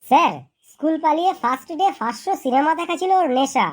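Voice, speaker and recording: Cheeky cartoon schoolboy, extremely high-pitched, glib and long-winded, for classroom comedy. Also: expressive, brisk. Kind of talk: exaggerated cartoon comedy dialogue.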